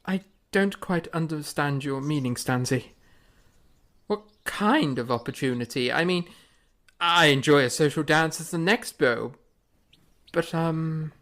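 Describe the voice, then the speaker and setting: A woman's voice: low voice, unhurried, a bit bright. Woman playing a hammy young composer. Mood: bemused. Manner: theatrical, hammy, breaks into pirate play. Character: playful young male composer, boyish and theatrical. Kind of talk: fan audio drama acting.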